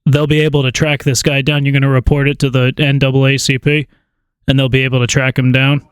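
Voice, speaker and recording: A man chats casually on a phone call in a smooth, clear voice with little range in pitch. He stammers and hesitates, informal throughout, and the sound is dry. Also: little pitch movement, fast, no room sound. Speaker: prank caller.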